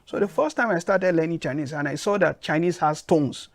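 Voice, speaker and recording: Nigerian man, high and dry. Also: a little fast, no echo. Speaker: guest. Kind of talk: interview answers.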